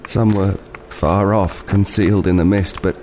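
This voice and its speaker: Deep-voiced, haunted homeless old man. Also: fairly even intonation, measured pace, a little mellow, a little hiss or hum. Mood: uneasy, foreboding. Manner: hesitant, stammering over words. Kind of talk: audio drama monologue.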